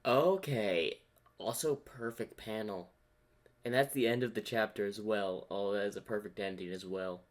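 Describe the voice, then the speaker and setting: Man, lowish voice, reaction video host, casual, thinking out loud. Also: moderate pace.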